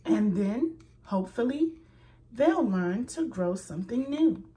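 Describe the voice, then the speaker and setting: Slow, expressive woman reading a kids' story. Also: mid-range voice, recorded from a distance. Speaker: children's story reader. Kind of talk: reading a picture book aloud to children.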